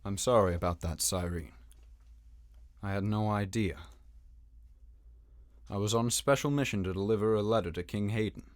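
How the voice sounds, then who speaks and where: A man's voice: fairly low pitch, normal speed, long pauses, dry recording, lightly acted. A man playing an earnest, dutiful young knight in a game fan dub, speaking in a serious, slightly formal manner. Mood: apologetic. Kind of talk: acted game dialogue.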